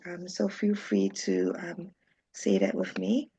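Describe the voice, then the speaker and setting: A woman from Singapore, a social worker, leading a friendly webinar in a chatty, warm way with frequent ums and small laughs, over a video call. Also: mid-range voice, level tone, slow pace, gravelly, husky. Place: home. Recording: dull lo-fi video call audio.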